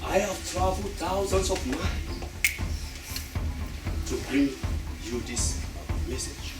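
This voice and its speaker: Dramatic stage narrator in an echoey hall. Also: male voice, high-pitched, lively intonation, takes it slowly. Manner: dramatic, addresses audience directly. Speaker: narrator introducing a stage play. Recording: echoey hall from far off, music behind.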